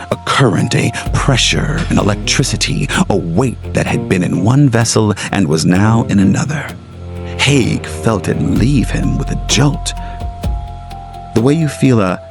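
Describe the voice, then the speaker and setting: A man reading scripted gothic horror as a storyteller, in a dramatic, deliberate literary manner with weighted phrases. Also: lowish voice, creaky. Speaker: storyteller, narrator. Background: music under the narration.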